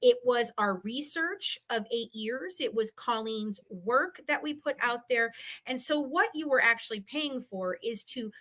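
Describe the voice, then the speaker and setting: Woman, sharp highish voice, fired up and combative, casual livestream rant, hammers her points. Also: measured pace. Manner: emphatic, combative, repeats phrases for emphasis. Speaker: guest. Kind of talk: heated casual live-stream commentary.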